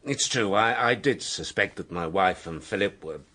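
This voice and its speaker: A subdued British husband in a radio play. His voice is very deep and rough-edged, and he speaks haltingly, with shame and apology. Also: lively intonation, pushed and bright, heavily acted. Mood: ashamed, confessing. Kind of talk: BBC radio drama acting.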